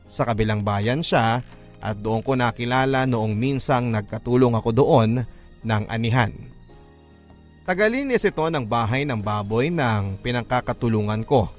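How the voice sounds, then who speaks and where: A man's voice: mid-range voice, moderate pace. A man with a Filipino accent reads a first-person story in an emotive, earnest, classic radio drama narration style. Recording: narration over background music. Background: background music.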